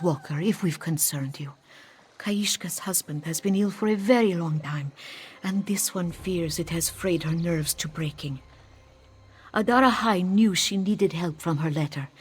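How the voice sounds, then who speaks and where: Woman as low-voiced solemn cat-folk priestess. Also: measured pace, close to an everyday voice. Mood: grave, earnest. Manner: formal, speaks of self in third person. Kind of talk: scripted fantasy game dialogue. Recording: background music under the voice.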